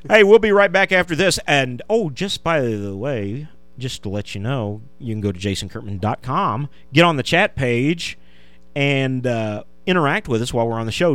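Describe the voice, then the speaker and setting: Lively, chatty middle-aged man radio host. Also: mid-range voice, no room sound. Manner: chatty, laughs often, restarts sentences. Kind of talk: casual radio chat. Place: radio studio.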